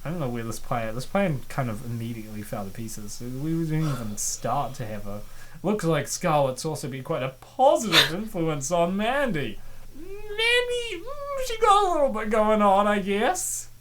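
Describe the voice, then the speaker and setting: A man with a lively, widely swinging voice narrates a comic dub. He breaks in with sarcastic, exclamatory reactions as a casual co-host. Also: on the high side, normal speed, slight background noise.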